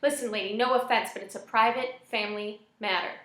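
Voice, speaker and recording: A woman's voice: a little high, steady tone, fairly quick, fairly bright tone, lightly acted. A woman voicing a desperate, bossy young man leading a robbery in a story, with a strained, commanding manner. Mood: curt. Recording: roomy, echoing space.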